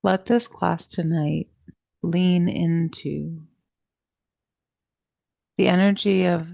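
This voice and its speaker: Woman, meditation teacher, heavy vocal fry, very even low-pitched delivery, soothing pauses, slightly muffled recording. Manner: slow, soothing, deliberate with long pauses. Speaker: yoga nidra meditation teacher. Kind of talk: guided meditation talk.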